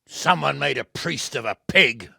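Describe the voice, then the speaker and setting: Gravelly, blustering medieval lord. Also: male voice, mid-range voice, lively intonation, a little slow, bright, forceful tone, dry recording, clean studio sound, full character voice. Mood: scornful, mocking. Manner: blustering, coarse, sardonic, commanding. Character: gruff, blunt, sardonic medieval lord and war commander. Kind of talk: dramatic video game voice acting.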